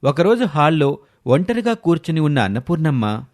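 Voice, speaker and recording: A man's voice: on the high side, sing-song, moderate pace, noisy background. A man giving scripted narration as a storyteller, with music behind his voice.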